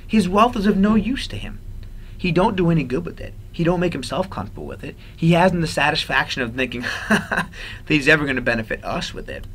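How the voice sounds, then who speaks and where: A man voicing a cheerful, good-hearted young gentleman from a storybook, in a hearty, emphatic way. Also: fairly high pitch, sing-song, brisk, slight background noise, voice pushed up. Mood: amused, exclaiming.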